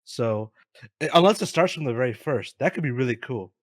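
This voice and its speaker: A man's voice: medium pitch, animated, a little fast, dry recording, clean studio sound. A man co-hosting a gaming show, giving opinions in loose, informal, slangy chat with some swearing. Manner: informal, says bro, swears. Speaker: co-host of gaming news show.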